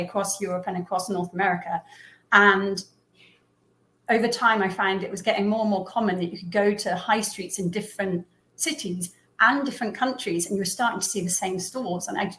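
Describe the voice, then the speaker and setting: A woman's voice: medium pitch, fairly bright tone. A woman with an English accent, a business owner speaking as an interview guest, talking in a thoughtful, friendly way with frequent ums and easy laughter. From England, Herefordshire. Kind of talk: casual interview. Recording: roomy echo.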